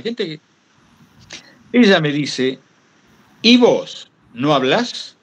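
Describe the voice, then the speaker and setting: An older man with an Argentine accent talks reflectively and anecdotally, in a halting, rambling way, repeating phrases for emphasis. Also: mid-range voice, slightly rough, highs cut off. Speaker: guest speaker, retired radio broadcaster. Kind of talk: reflective anecdotal talk to a workshop.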